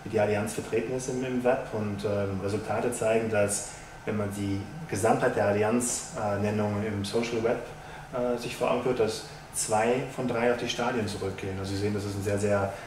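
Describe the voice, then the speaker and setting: A man in his thirties, a sponsorship executive, giving measured businesslike interview answers with frequent hesitations, in a stadium lounge. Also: on the low side, steady tone. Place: stadium lounge with glass walls, indoors. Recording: roomy, distant mic, faint hiss.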